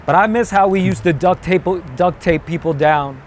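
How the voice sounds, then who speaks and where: A man with a fairly high voice tells loose, swearing stories while standing outdoors on a city street. Faint traffic sits underneath his voice. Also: moderate pace. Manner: rambling storyteller with swearing. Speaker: comedian guest. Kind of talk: casual street chat.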